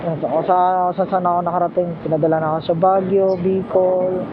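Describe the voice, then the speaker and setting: A man's voice: high voice, little pitch movement, a little fast, phone-quality sound, background noise. A man chatting casually and teasingly with a passenger as a motorcycle taxi rider and vlogger. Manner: jokey, teasing, giggly. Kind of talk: casual chat with a passenger while riding. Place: outdoors on a motorcycle in traffic. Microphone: helmet intercom headset. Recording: loud music, motorcycle intercom on road. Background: clear background music, vehicle sounds.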